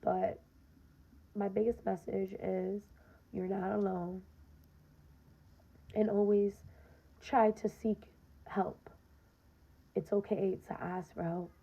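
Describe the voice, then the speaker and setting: A young woman with a raspy voice, hosting her podcast and speaking earnestly and directly to listeners in a casual personal monologue, often saying "um" and "you know". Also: mid-range voice, flat delivery, slow pace, long pauses. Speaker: podcast host. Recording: dull lo-fi recording.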